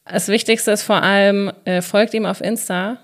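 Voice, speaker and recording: A woman from southern Hesse hosting a podcast in casual chat, chatty and quick to laugh, with many fillers. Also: mid-range voice, fairly even intonation. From southern Hesse, Germany. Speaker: podcast host.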